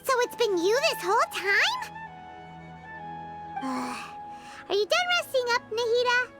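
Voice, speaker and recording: Game fairy sidekick: extremely high, childlike, squeaky, exclamatory, swooping intonation, music behind. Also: female voice, wildly swooping pitch, measured pace, full character voice. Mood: curious. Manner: refers to self in third person, exclamatory. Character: tiny excitable floating fairy girl companion. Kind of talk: video game character dialogue.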